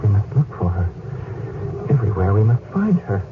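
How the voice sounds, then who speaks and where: A distressed young nobleman, his lowish voice pushing out urgent, climbing questions. He sounds tinny and a little off-mic, like an old radio broadcast with music underneath. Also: very animated, moderate pace, recorded from a distance, noisy background. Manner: urgent, rising pleas. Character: young nobleman Marcus, anxious and grieving, also narrator. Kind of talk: 1970s radio drama acting.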